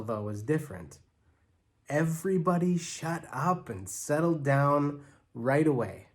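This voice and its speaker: A man reading a children's story aloud in an animated, expressive way, as a church storyteller. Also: mid-range voice. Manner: expressive, acts out characters' lines.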